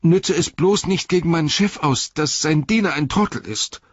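A man's voice: a little high, normal speed, husky, voice pushed up. A man voicing an earnest, simple-hearted halfling servant who rambles in a folksy, deferential way in a storybook reading. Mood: flustered, pleading. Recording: slightly dull, roomy sound.

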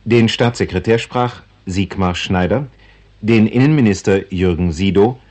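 A man's voice: fairly low pitch, measured pace, a little mellow. A man formally reading scripted closing credits as the announcer of a radio play.

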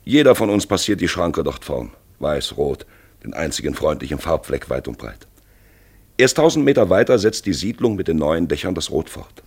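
A man playing a brooding, probing narrator in a 1950s radio play, with emphatic phrases and hushed asides. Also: a bass voice, very animated, moderate pace, full character voice. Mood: intense, reflective. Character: brooding male narrator-guide, probing and dramatic. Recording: husky-sounding 1950s radio recording.

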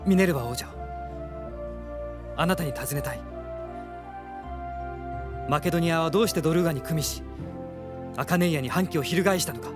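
An actor playing a gentle, sincere young hero prince, polite and measured, in a radio drama over music. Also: male voice, fairly high pitch, natural voice. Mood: earnest, questioning. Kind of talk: Japanese radio drama acting. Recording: music under the voice.

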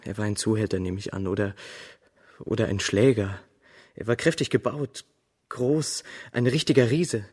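An actor playing a timid, lovestruck servant's son, halting, husky and deferential, in a German radio crime drama. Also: male voice, lowish voice, darkish tone. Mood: wistful, hesitant.

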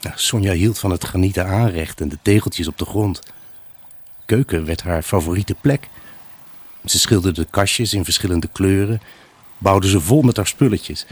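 An actor playing a wistful, evasive husband left alone, in a halting, pensive radio drama monologue. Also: male voice, low-pitched, breathy edge, no room sound. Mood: nostalgic. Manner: halting, pensive, addressing an unseen buyer. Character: wistful, evasive abandoned husband selling his house.

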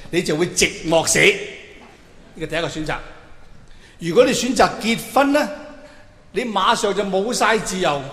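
A man's voice: high-pitched, pushed and bright. A middle-aged man with a Hong Kong Cantonese accent performing a stand-up monologue, colloquial and theatrical, throwing questions out to his listeners. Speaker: stand-up comedian. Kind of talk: stand-up comedy monologue to a live audience. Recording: echoey hall with long reverb, faint noise.

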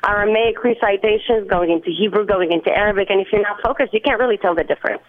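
A woman's voice: medium pitch, quick pace. A woman musician being interviewed, speaking earnestly and often restarting as she searches for words. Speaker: musician guest. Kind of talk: interview over the phone. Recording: tinny phone line.

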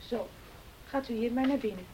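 A polite household servant in a radio drama. Her voice is very breathy and mellow, slightly high, with a restrained, obedient manner. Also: fairly quick, rough recording. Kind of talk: Dutch radio play acting.

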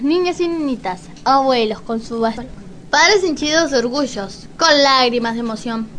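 A woman works as a radio presenter and narrator. Her voice is high and bright, and she declaims announcements and rhymed introductions over faint music.

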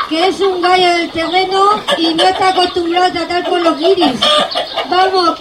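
A woman's voice: extremely high pitch, noisy background. A woman playing a sceptical, grumbling farmyard hen in a comic radio play. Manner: dismissive, colloquial. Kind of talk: comic radio theatre acting.

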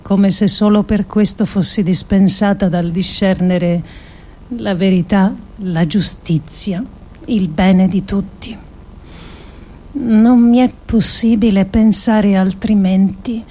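An actress playing an earnest, resolute woman politician who delivers a solemn theatrical speech with measured, emphatic phrasing. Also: mid-range voice, steady tone, mellow. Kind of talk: theatrical monologue, solemn oratory.

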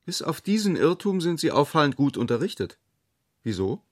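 An actor playing a cool, evasive gentleman intellectual in a radio drama, reserved and dryly ironic. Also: a little high, sing-song, normal speed, no echo, clean studio sound. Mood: guarded, curious.

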